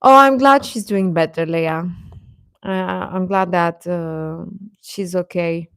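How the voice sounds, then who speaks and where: A woman's voice: on the low side, takes it slowly, a bit bright, clean tone, no room sound, clean studio sound. A young adult woman hosting a live stream, chatting informally with her viewers and often chuckling as she talks.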